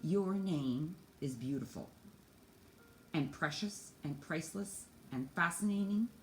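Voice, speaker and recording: A woman's voice: sits low, expressive, unhurried, roomy sound, a little hiss or hum, rough recording. A woman voicing a doting, wordy, reassuring father in a storybook reading. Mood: admiring.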